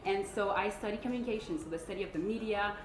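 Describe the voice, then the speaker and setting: A woman's voice: medium pitch, fast. A woman in her twenties from Canada, introducing herself as a pageant contestant on a live stream. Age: 20s. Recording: echoey room with faint noise.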